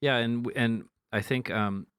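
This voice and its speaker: A man's voice: mid-range voice, steady tone, unhurried, fairly bright tone, clean tone, dry recording, clean studio sound. A man co-hosting a podcast, talking frankly in casual chat, swearing now and then and often restarting his sentences. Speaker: podcast co-host.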